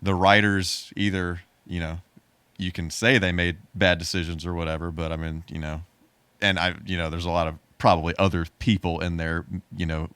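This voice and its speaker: A man's voice: low voice, little pitch movement, normal speed, fairly bright tone, clean tone, dry recording. A man co-hosting a podcast in casual conversation, thinking aloud tentatively with false starts. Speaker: podcast co-host.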